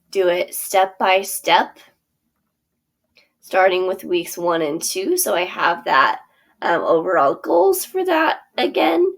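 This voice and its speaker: A woman theater teacher giving a friendly instructional walkthrough of her curriculum over a screen share, with frequent ums and small self-corrections. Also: lowish voice.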